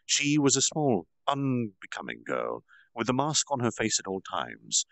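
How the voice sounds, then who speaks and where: A man's voice: mid-range voice, huge pitch swings, measured pace, creaky, a bit bright, no echo. A man casually recapping a fantasy novel as a storyteller, dramatic with asides and self-corrections. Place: virtual reality social space.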